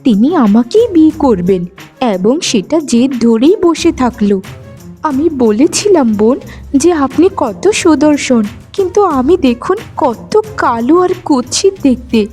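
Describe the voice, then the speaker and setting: A woman acts a meek young wife in a moral-story cartoon. Her high voice is plaintive, submissive and long-suffering, set over faint music. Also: lively intonation, unhurried, mellow. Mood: apologetic. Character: meek, pleading young daughter-in-law, long-suffering and gentle.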